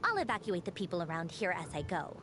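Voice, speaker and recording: Haughty, animated female engineer commander. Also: lowish voice, fast, noisy background. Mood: brisk, resolved. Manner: dry, impatient, teasing. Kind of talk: scripted video game voice acting. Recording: music under the voice. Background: game music.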